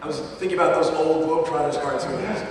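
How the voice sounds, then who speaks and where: A man talks casually in an echoing hall full of loud noise. His voice is a little high and comes from off the mic. He sounds like a voice actor trading remarks on a panel. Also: quick pace, recorded from a distance. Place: large convention panel hall.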